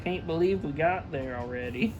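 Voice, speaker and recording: A man's voice: a little high, moderate pace, soft background music. A man reading a classic story aloud theatrically and emphatically, stumbling over the text and dropping in casual asides. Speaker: reader, show host.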